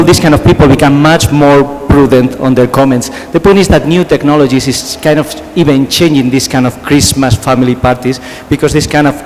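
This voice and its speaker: A man from Spain speaks English with a heavy Spanish accent, in a slightly high voice that often stops to repeat words and quiz the room. He lectures from a stage, and his voice rings in a large hall with a long tail of reverb. A faint murmur of ambience sits behind him. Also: a little hiss or hum. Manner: halting, repeats words, asks the audience questions. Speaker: conference speaker, physician. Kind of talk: conference presentation.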